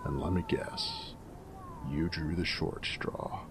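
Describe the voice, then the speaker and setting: A man playing a snarky but friendly ghoul companion in an audio drama, with a gravelly voice and a wry, joking drawl. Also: extremely low pitch, steady tone, takes it slowly, noisy background. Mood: teasing, friendly. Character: snarky, friendly male ghoul wasteland companion, weathered and irradiated. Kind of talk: character roleplay audio drama.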